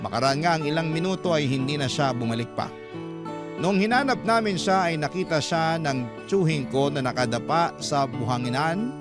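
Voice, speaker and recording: A reflective male storyteller, played by an actor narrates a radio drama in a slightly high voice. His delivery is scripted and sentimental. Guitar music is clearly present beneath him. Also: normal speed, lightly acted. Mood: sorrowful. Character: reflective storyteller recalling family life and loss.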